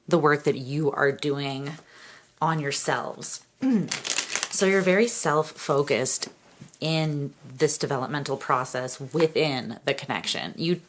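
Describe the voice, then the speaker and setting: A woman giving a casual tarot reading to her viewers, hoarse from losing her voice, often clearing her throat and meandering with fillers. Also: sits low, slight background noise. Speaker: tarot reader.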